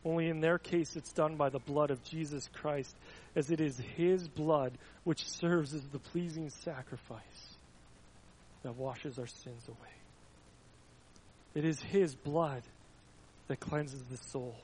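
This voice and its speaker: A man with a notably high voice delivers a sermon built around simple stories. He talks in an informal, storytelling way, often tripping over his words and restarting a phrase. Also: fairly even intonation, slow, a little mellow, a little hiss or hum, low-quality recording. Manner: storytelling, with frequent stumbling restarts. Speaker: preacher.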